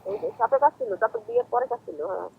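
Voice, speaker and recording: A woman's voice: extremely high pitch, wildly swooping pitch, very fast, vocal fry, no room sound. A woman with a Bangladeshi accent talking casually in colloquial rural dialect over a thin telephone line. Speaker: phone-in guest. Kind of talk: casual phone conversation.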